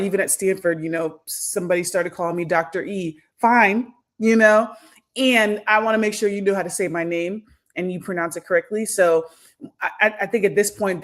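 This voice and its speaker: A woman in her thirties, an academic guest on a podcast, talking reflectively with frequent pauses and restarts. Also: on the low side. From United States. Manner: thoughtful, many fillers and restarts. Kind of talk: reflective conversational interview.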